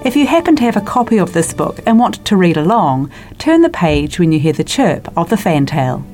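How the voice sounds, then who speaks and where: Lively-pitched woman presenter over music. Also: a little fast. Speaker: presenter introducing a reading. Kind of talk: scripted introduction.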